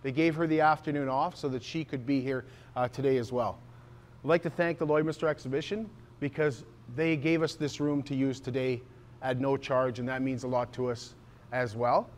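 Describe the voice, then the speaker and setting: Man, mid-pitched, event organizer, earnest sincere opening thanks. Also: steady tone, moderate pace.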